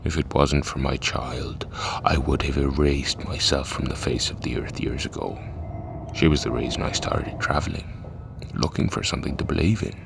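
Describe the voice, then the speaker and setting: Man acting as philosophical cult leader, grieving father, gravelly bass, measured delivery, audio drama, noisy ambient backdrop. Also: full character voice. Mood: grave, confiding. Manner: measured, eloquent, musing. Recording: clear background noise and ambience.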